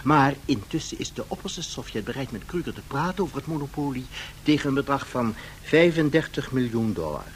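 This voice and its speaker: An actor playing a chummy, haggling Soviet commissar with a theatrical, excitable manner, in radio drama style. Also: male voice, mid-range voice, a little fast, lightly acted. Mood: persuasive. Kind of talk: 1970s Dutch radio drama acting. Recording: noisy 1970s radio drama recording.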